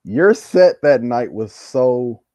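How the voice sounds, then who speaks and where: A man's voice: medium pitch, expressive, slow pace, warm tone, no room sound. A man appearing as a show guest, chatting casually and telling stories in a jokey, informal way. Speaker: show guest, comedian and wrestler. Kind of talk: casual chat.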